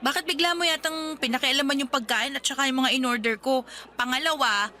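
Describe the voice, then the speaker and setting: Young girlfriend in a radio drama, really high bright voice, expressive, bit of music behind. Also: a little fast, a little hiss or hum. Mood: indignant. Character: hungry, feisty young woman, hurt girlfriend and daughter. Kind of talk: Tagalog radio drama acting.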